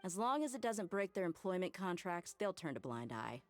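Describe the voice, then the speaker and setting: A tough, good-hearted mercenary in an anime game. The voice is notably low for a woman, with blunt, casual phrasing and an easy swagger. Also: big pitch swings, moderate pace. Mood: confident.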